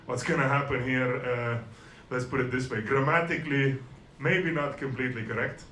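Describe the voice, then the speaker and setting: A man delivers stand-up in a dry, self-deprecating way, often stumbling and restarting his sentences. His voice sits at an ordinary middle pitch. The room is echoey, with a faint hiss under him. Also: steady tone, moderate pace, fairly bright tone. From Estonia. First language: Russian and Estonian. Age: 40s. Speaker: stand-up comedian. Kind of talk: stand-up comedy set.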